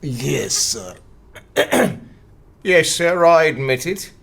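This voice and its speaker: Radio play supporting role: nonchalant undercover detective, slightly high and swooping, politely cheeky. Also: male voice, sing-song, very slow, vocal fry, a bit bright, exaggerated voice. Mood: sheepish confession. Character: cheeky unmasked male police spy, nonchalant. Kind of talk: radio drama acting.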